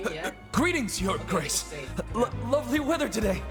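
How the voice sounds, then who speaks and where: High, stammering, fawning underling. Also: male voice, very animated, moderate pace, creaky, heavily acted. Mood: flustered. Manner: stammering, obsequious. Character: nervous, fawning man greeting his superior. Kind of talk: anime game voice acting. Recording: game music behind.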